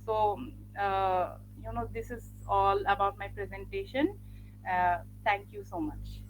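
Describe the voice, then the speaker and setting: A woman's voice: mid-range voice, unhurried, slight background noise, rough recording. A woman finishing an academic literature presentation, speaking reflectively with frequent "uh" fillers. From Bangladesh. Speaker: graduate student presenter.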